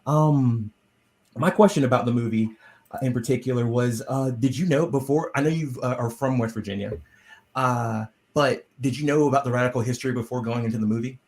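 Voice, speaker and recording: A man's voice: medium pitch, a little fast, a little mellow. Rambling man hosting an interview. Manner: rambling, filler-heavy, enthusiastic. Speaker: podcast host. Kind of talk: casual video-call interview. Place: home room indoors. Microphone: headset on a video call.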